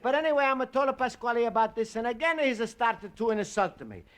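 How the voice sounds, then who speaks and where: An actor playing a cheerful, naive Italian immigrant in a 1950s radio comedy, speaking accented broken English with extra vowels. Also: male voice, very high-pitched, big pitch swings, fairly quick, a bit bright, exaggerated voice. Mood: exasperated. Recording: noisy old radio recording with clicks.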